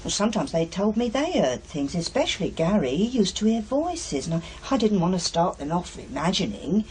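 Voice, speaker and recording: A woman's voice: on the low side, big pitch swings, fairly quick, darkish tone, a little hiss or hum. An actress playing a harried, chatty working-class English mother in a naturalistic radio drama, with a blunt, colloquial, nagging way of talking. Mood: chatty recounting.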